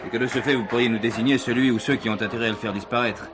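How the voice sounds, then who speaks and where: An actor playing a brisk, direct police commissioner in a 1970s French radio crime play. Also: male voice, mid-range voice, fairly even intonation, close to an everyday voice. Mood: probing. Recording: loud music and crowd behind.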